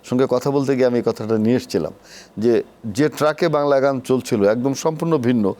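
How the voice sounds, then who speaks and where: A man with a Bangladeshi accent and a dark, mellow, relaxed voice of medium pitch. He speaks as a talk show host in a conversational interviewing style. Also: fairly quick. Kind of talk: conversational cultural interview hosting.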